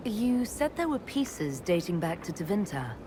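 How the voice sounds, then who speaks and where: An actress playing a composed female military leader in a video game, questioning companions in a measured, dry way. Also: on the low side, very animated. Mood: dry, businesslike. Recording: clear background ambient noise.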